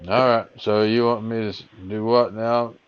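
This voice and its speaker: A man's voice: fairly low pitch, little pitch movement, normal speed, clear, resonant tone, no room sound. A man making a prank phone call, drawling and teasing in a mock-folksy way. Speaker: scambaiter.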